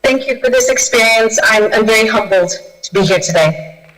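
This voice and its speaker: A woman's voice: fairly high pitch, normal speed, a bit bright, low-quality recording. A woman from Kenya, a guest speaker on a video call, answering audience questions with many fillers and restarts. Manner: hesitant, with frequent fillers and restarts.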